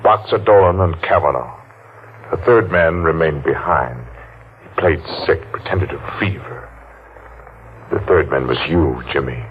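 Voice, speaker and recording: A muffled, hissy vintage radio thriller recording carries a vengeful masked man, his voice extremely deep. He taunts and accuses with slow-burning, theatrical menace, a maimed survivor toying with the one he blames. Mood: menacing, accusing. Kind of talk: old-time radio thriller acting. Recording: muffled, noisy old-time radio recording.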